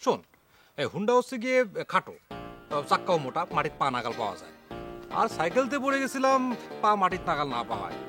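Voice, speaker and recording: Very fast, scoffing village man. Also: high voice, huge pitch swings, over music. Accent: rural Bangladeshi dialect. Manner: dismissive, argumentative. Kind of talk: comic TV drama acting.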